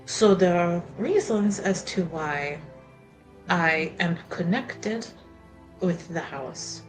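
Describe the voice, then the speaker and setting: A woman voicing a guarded, anxious house attendant with a put-on French accent in a tabletop roleplay, confiding haltingly. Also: lowish voice, animated, faint music behind. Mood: earnest, uneasy. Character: guarded noblewoman's attendant, secretly a bastard, earnest and anxious.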